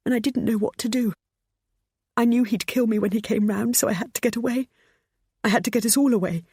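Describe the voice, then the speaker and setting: A woman voicing a frightened, tearful middle-aged mother who speaks in a high, breaking voice. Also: normal speed, a little mellow, breathy edge, dry recording, clean studio sound. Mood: distraught.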